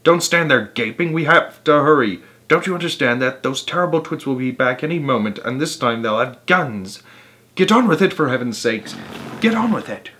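A man voicing a bossy, excitable monkey father who shouts urgent commands in a children's storybook. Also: mid-range voice, normal speed, natural voice. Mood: frantic, bossy. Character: bossy, frenzied monkey father leading a revenge trick.

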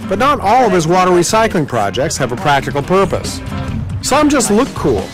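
A man hosting a home renovation show, asking quick joking questions outdoors and narrating voice-over. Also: high voice, huge pitch swings, over music, poor audio. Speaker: TV host. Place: outdoor backyard.

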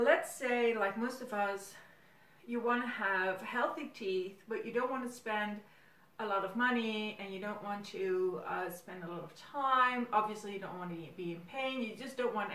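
A woman's voice: mid-range voice, a bit bright. Dutch-native woman, roomy and distant. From Netherlands. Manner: hesitant, thinking aloud. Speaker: video series host. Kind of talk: casual monologue to camera. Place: small room at home, indoors. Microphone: camera several feet away. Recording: roomy, distant camera microphone.

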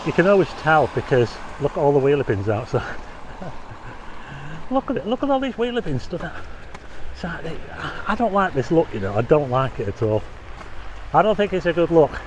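A man's voice: fairly high pitch, lively intonation, normal speed, background noise. A man casually narrating a walk outdoors on a rainy street, chatty, asking viewers questions and making wry asides. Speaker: walking tour vlogger. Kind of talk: casual walking commentary.

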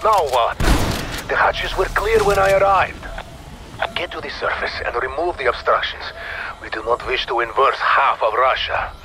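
A man acting a gruff, excitable soldier in a video game cutscene, with a blunt, animated delivery. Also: medium pitch, normal speed, noisy background, close to an everyday voice. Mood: irritated, wry.

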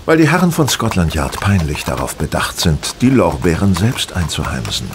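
Aloof master detective, radio drama: deep-set voice with very animated intonation, condescending, deliberate when explaining. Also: male voice, noisy background. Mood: dryly confident. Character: brilliant, aloof, self-assured male gentleman detective. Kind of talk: German audio drama acting.

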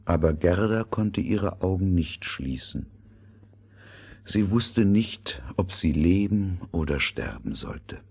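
A man narrating a fairy tale in a measured, dramatic way, with a husky, breathy voice. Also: low-pitched, expressive, a little mellow.

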